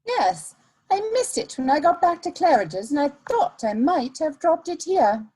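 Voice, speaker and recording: A woman playing a sly, worldly Victorian society lady, arch and smoothly polite, in a dramatic comedy reading. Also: high voice, big pitch swings, moderate pace, darkish tone, rough recording.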